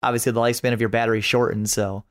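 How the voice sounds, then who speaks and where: Casual man chatting, medium-pitched voice. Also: flat delivery, rapid-fire. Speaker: podcast guest. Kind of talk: casual chat.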